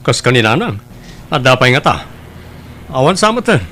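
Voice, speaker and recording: A man's voice: medium pitch, sing-song, moderate pace, sharp tone, slight background noise. A man playing a carefree, flippant young womanizer in an audio drama, with an Ilocano accent. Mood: flippant, dismissive. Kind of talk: Ilocano radio-style audio drama acting.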